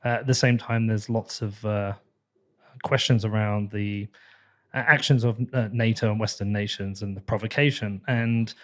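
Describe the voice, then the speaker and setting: A man's voice: lowish voice, steady tone, normal speed, fairly bright tone. A man hosting a podcast interview, chatty and self-deprecating. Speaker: podcast host. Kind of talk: casual interview talk.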